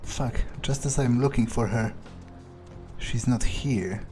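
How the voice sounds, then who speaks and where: A man playing a brooding, casually ruthless vampire who thinks aloud in an unhurried mutter, as in-character game narration. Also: mid-range voice, dark tone, lightly acted. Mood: brooding, matter-of-fact. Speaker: let's player role-playing in character. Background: game music.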